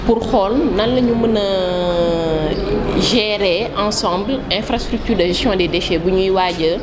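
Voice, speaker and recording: A woman with a Senegalese accent, a project manager answering an interview, speaking haltingly with many pauses and switching between French and Wolof. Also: medium pitch, crowd noise. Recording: loud background noise.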